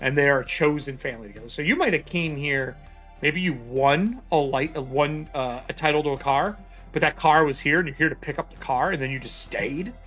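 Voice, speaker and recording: Game-master type, man, slightly higher voice, excitable, casual cursing, faint music bed. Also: fairly quick. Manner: excitable, swears freely, explains rules loosely. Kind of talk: casual tabletop game session chat.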